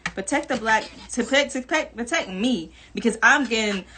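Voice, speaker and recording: Emphatic Jamaican-American woman, bright voice. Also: on the high side, a little fast, a little hiss or hum. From New York, Jamaican family. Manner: emphatic, repetitive, swearing, laughing between points. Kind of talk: candid personal video monologue.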